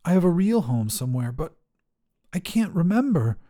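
A man narrating first-person fiction as a desperate, oxygen-starved astronaut, in deliberate, intense, measured phrases. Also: fairly high pitch, very animated, mellow. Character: desperate oxygen-starved astronaut telling his own story. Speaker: story narrator.

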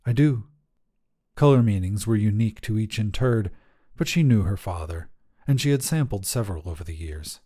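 Mellow male story narrator. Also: lowish voice, lively intonation, a little fast. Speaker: podcast narrator. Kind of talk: scripted fiction narration.

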